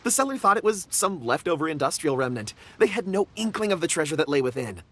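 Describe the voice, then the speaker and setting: A man's voice: fairly high pitch, very animated, quick pace, fairly bright tone. A man voicing a genial, absent-minded young rock enthusiast in a video game, polite and eloquent. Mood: admiring, eloquent. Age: young adult. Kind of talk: video game dialogue acting.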